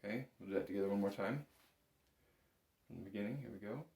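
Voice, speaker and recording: A man's voice: on the low side, fast, gravelly, very noisy. A man giving a step-by-step music lesson as an instructor, talking in an informal, patient, encouraging way. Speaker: bagpipe instructor. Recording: roomy, echoing room sound.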